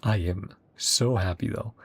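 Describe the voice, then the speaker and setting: A woman's voice: a bass voice, measured pace, slightly rough, no room sound. A young adult woman streamer giving chatty reaction commentary, humming along and reading lines aloud. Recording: game music playing behind.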